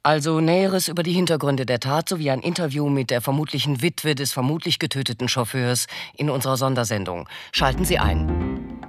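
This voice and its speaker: Man playing earnest news presenter, mid-range voice, formal bulletin delivery, measured, radio play. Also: mid-range voice, close to an everyday voice. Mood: formal, grave.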